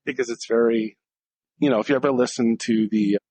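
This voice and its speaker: A man's voice: medium pitch, steady tone, fairly quick, a little mellow, low-quality recording. A man co-hosting a casual podcast, talking in a direct, blunt way and acting out short exchanges. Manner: direct and blunt, acting out little dialogues in different voices. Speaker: podcast co-host.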